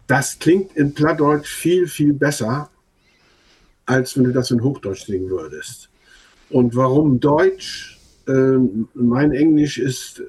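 An older man whose first language is Low German, a band leader answering interview questions hesitantly with many fillers. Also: a little mellow, slightly rough.

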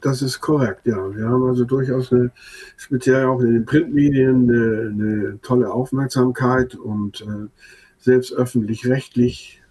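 An older man whose first language is Low German answers interview questions about his band. His voice is husky and warm, and he speaks haltingly, restarting phrases and filling pauses. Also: fairly even intonation. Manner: hesitant, many fillers and restarts. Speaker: band leader and songwriter.

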